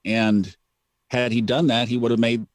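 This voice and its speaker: A man's voice: mid-range voice, level tone, normal speed, no room sound. A man hosting a podcast, talking in a reflective, storytelling way and repeating phrases for emphasis. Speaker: podcast host. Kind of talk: casual conversational chat.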